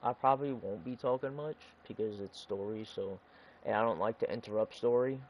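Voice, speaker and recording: A man giving casual, halting gameplay commentary in a laid-back way. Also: fairly low pitch, a little hiss or hum, rough recording. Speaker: gaming streamer. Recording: loud game music behind the voice.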